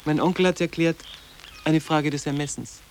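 A man's voice: on the high side, fairly even intonation, normal speed, slight background noise, lightly acted. An actor playing a sarcastic, guarded teenage boarding-school boy in a radio drama, speaking with precocious, dry formality. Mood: dry sarcasm. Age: young.

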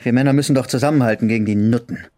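An actor playing a thuggish, spiteful wounded Nazi tough in a German radio drama, speaking gruffly and contemptuously. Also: male voice, mid-range voice, racing, mellow, a little hiss or hum, lightly acted. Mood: sullen, spiteful.

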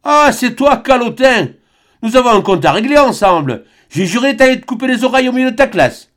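A man voicing a mocking, rough old peasant uncle, pitched high and loud. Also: big pitch swings, normal speed.

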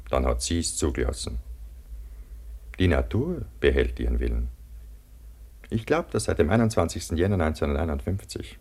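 An actor playing a sober, sceptical cable-car worker recalling an avalanche disaster in a German radio play. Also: male voice, very deep voice, darkish tone, full character voice. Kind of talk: German radio play acting and first-person narration.

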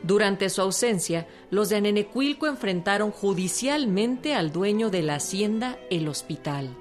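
Woman doing dramatic history narration, average pitch, music clearly behind her. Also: animated, normal speed.

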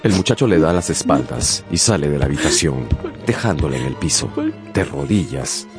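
A male narrator tells a dramatic story for radio. His voice is very deep and moves expressively up and down. Music plays clearly behind him. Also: lively intonation. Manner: theatrical, deliberate storytelling.

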